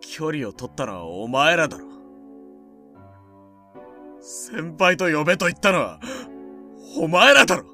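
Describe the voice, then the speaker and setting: A young man playing a bitter, jealous high school boy in a Japanese voice drama, with a cutting, taunting way of talking. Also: big pitch swings, unhurried, long pauses, bright, forceful tone, faint music behind, exaggerated voice. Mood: wounded, angry.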